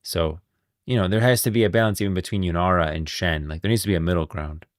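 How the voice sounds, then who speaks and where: A man's voice: deep voice, fast, no room sound, studio quality. A man hosting a livestream with casual, emphatic and opinionated commentary on game lore. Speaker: livestream host.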